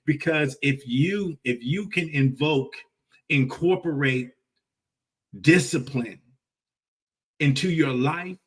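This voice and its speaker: A man's voice: on the high side, slow, long pauses, slightly rough, far from the mic. A man in his forties hosting a motivational livestream, talking emphatically, repeating key phrases and checking in with "right?". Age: 40s. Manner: repetitive, emphatic, with rhetorical "right?" tags. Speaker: host.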